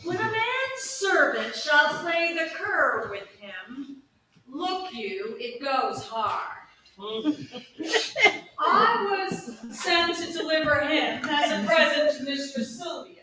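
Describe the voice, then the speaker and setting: A woman playing a put-upon comic male servant, grumbling and declaiming slowly in a staged Shakespeare reading. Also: high-pitched, big pitch swings, full character voice. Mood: aggrieved, comic. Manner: slow, declamatory storytelling, mimicking quoted speakers. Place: live staged reading, recorded from audience distance. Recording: echoey room, distant mic, faint noise.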